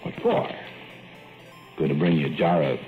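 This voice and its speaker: A man's voice: very deep voice, wildly swooping pitch, normal speed, heavily acted. An actor playing a talkative, teasing small-town man with a folksy rural way of speaking, in an old radio drama. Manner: rural dialect, needling and playful. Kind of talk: 1940s radio acting. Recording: tinny old radio broadcast, faint music.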